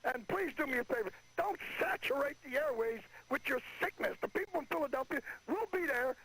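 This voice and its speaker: Really high gravelly guy phoning into old radio, blustery and indignant like some official. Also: huge pitch swings, a little fast, a bit bright. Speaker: state athletic commissioner calling in. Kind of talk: phone-in radio rant. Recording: telephone call on old radio.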